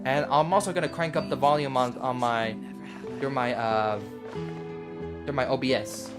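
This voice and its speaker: A woman's voice: very deep voice, steady tone, normal speed. A woman livestreaming a video game with casual, reactive commentary. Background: game music.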